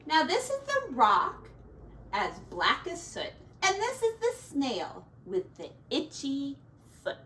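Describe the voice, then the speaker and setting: A woman reads a children's storybook aloud at a very slow, deliberate pace. Her delivery is theatrical and lively, with big swings in pitch and stretched-out key words. She sounds a little distant in an echoey room. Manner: theatrical, drawn-out key words with hushed asides. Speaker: teacher reading a picture book to children. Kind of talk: expressive read-aloud of a rhyming children's storybook with asides. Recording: roomy echo, distant mic, faint noise.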